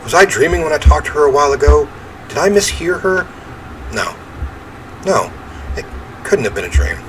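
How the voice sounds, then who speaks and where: A man reading a first-person horror game story aloud in an expressive, theatrical way. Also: a little high, moderate pace, low-quality recording. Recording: clear ambient noise behind voice.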